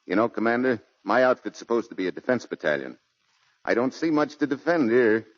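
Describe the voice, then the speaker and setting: A man plays a courteous Marine officer in a 1940s radio play, composed and wry. His mid-pitched voice is dry and muffled on the old recording. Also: expressive, fairly quick, dry recording, natural voice. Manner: polite military address. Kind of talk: 1940s radio drama acting.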